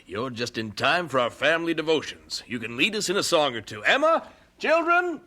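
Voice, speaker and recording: An actor playing a brisk, insistent American preacher and family man in a radio drama, hurried and hearty. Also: fairly high pitch, big pitch swings, sharp tone, full character voice. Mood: brisk, welcoming. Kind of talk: old radio drama acting.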